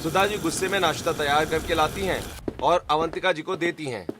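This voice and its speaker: A man's voice: fairly high pitch, steady tone, a little fast, noisy background. A man giving scripted narration for a cartoon family story.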